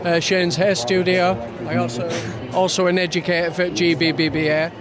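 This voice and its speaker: A man's voice: high voice, monotone, moderate pace. A man, a barber and educator, giving short, terse answers. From Yorkshire, England. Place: busy trade show hall. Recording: crowd and music at a trade show.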